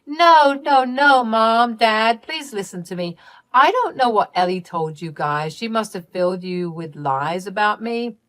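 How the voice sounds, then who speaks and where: A woman voicing a self-pitying young adult sister who pleads and defends herself in a high, strained voice. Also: sing-song, slow pace. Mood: pleading.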